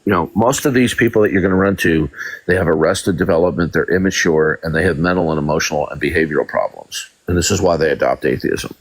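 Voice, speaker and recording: Low, dry-voiced combative debate host. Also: male voice, fast, dry recording. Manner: domineering, stammers repeated words, mocking. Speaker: live-stream debate host.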